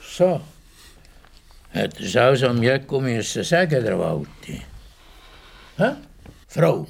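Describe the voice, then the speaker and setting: A man's voice: mid-range voice, big pitch swings, slow pace, vocal fry, slight background noise, exaggerated voice. An actor playing a simple, earnest mountain farmer and father in a radio play, with a Swiss German accent. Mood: questioning.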